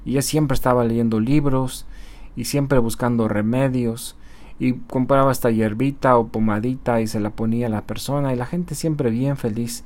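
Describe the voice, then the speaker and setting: A man who markets on social media, giving a casual motivational talk to his channel's viewers. He speaks conversationally, often checking in with tag questions and pausing on hesitation fillers. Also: mid-range voice, steady tone, a little mellow.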